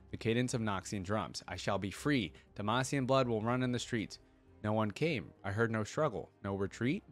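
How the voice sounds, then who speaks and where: Brutal undead warrior read by a man, low voice, weary and bloodthirsty, terse storybook monologue over faint music. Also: natural voice. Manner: terse, grim declarations. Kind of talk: dramatic first-person story reading.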